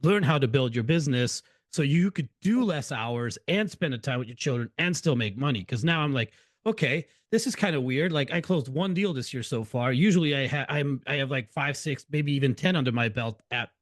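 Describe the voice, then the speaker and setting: A man's voice: medium pitch, animated, moderate pace, no echo. Chatty Hungarian-raised man, dry sound. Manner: chatty, loose, restarts sentences often. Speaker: podcast host. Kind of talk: casual interview chat.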